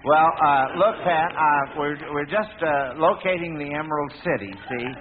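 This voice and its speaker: A man's voice: a little high, moderate pace, crowd noise. An American man hosting a 1940s radio quiz in a folksy, hesitant, chatty manner, on a noisy, tinny old broadcast. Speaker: quiz show host.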